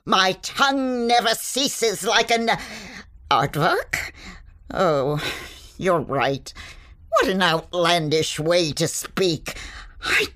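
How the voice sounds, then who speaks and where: A woman's voice: lowish voice, very animated, extremely slow, fairly bright tone, heavily acted. A woman playing a pompous storyteller with grandiose, flowery delivery in a scripted audio fantasy comedy. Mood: indignant. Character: pompous, theatrical female storyteller with flowery words.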